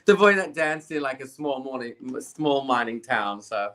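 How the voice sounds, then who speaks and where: Aussie guy, dancer on a podcast, bright voice, chatty and easygoing. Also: medium pitch, normal speed. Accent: Australian. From Australia. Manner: lively, laughs often, supportive. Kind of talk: casual podcast chat.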